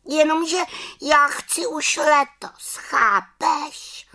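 A woman's voice: high-pitched, sharp tone, pitched above the performer's own voice. A woman voicing a small, childish young witch in a children's storybook, speaking in an emphatic, sulky manner. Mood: stubborn. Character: small young witch girl, aged 127, childish and stubborn.

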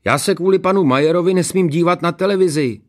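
A man's voice: high-pitched, pitched above the performer's own voice, lightly acted. A man voicing a tattling young schoolboy in a story, whiny and accusing.

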